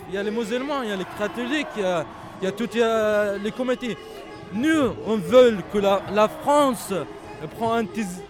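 Guy at a street protest, high voice, keeps getting loud, crowd all around. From Palestine. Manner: halting, passionate, raises voice often. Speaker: protester interviewed at a rally. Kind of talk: street interview. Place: outdoor street demonstration.

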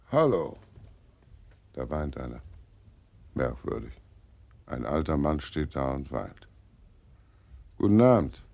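Brief: fat jolly Death, a cynical undertaker in an old radio play, booming bass, gravelly. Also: male voice, dark tone, exaggerated voice. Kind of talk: 1940s radio drama acting.

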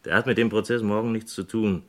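A man's voice: fairly low pitch, steady tone, quick pace, fairly bright tone, natural voice. A man playing a shady, slick but edgy watch smuggler short of money in a German radio crime play, speaking insistently. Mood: brisk, evasive.

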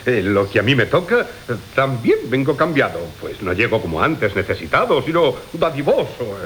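An actor playing a swaggering, wheedling rogue suitor in a theatrical radio comedy, declaiming in Castilian Spanish with sly muttered asides. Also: male voice, medium pitch, very animated, normal speed, a bit bright. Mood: boastful, courteous. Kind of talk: theatrical Golden Age comedy radio acting. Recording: hissy 1980 radio drama recording.

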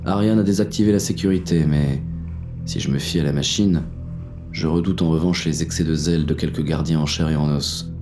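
An actor playing a wary police inspector on a burglary, narrating in a terse, tense inner monologue for an audio drama. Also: male voice, very deep voice, fairly even intonation, brisk, music in the background. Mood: wary, focused.

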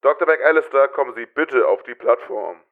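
Brief: man acting facility announcer, formal paging delivery, middle pitch. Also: lively intonation, moderate pace, narrow, tinny audio, close to an everyday voice.

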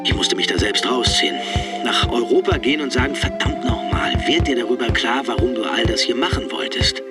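An actor voicing a weary, blunt rock star's recollections as a German voice-over in a radio feature, with theatrical emphasis. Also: male voice, medium pitch, a little fast, natural voice. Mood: self-reproaching, urgent. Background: music.

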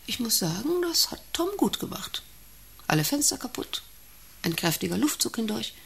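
A woman's voice: on the high side, sing-song, moderate pace, creaky, a bit bright, husky, exaggerated voice. An actress in a German radio play playing an imperious, obsessive female factory boss with a haughty, commanding, brooding manner. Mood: brooding, confiding.

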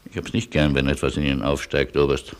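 A man playing an ironic, resigned Polish refugee who argues in a measured, philosophical way in a German radio play. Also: a bass voice, monotone. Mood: wry. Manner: measured, argumentative, wry.

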